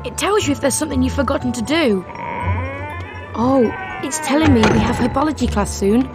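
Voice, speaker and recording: An actress voicing a nervous, friendly, forgetful young schoolboy wizard in a video game. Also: female voice, high-pitched, fairly quick, over loud music, lightly acted. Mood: earnest, anxious. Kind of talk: video game character acting.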